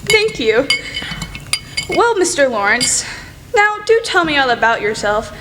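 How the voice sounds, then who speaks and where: High-voiced chatty teenage girl, acted by a woman. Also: very animated, measured pace. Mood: chatty, eager. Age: teenager or young adult. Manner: gushing, rambling, blunt. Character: outspoken, chatty, excitable tomboyish teenage girl. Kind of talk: radio play acting. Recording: echoey hall, faint noise.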